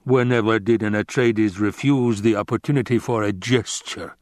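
A man voicing a fat, scheming baron in an audiobook, with a rumbling, growling bass and a grandiose, savoring delivery. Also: steady tone, moderate pace, a little mellow, dry recording, studio quality, close to an everyday voice. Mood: impatient, sardonic. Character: fat scheming villainous baron, pompous and menacing.

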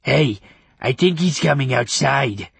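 A man voicing a guileless, cheeky talking pet hound in an audiobook, plain-spoken and eager, with a gravelly voice. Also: mid-range voice, expressive, unhurried, a bit bright, dry recording, pitched above the performer's own voice, full character voice. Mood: uneasy. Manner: plain-spoken, naive, easily offended. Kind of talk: audiobook character acting.

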